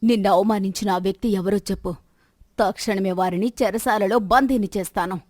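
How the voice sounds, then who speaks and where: A woman's voice: mid-range voice, fairly even intonation, a little fast, a little mellow, no room sound, studio quality, pitched below the performer's own voice, lightly acted. A woman voicing an imperious, indignant queen and protective elder sister in a story drama, stern and commanding. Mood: concerned, imperious. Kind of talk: scripted Telugu moral-story dramatization.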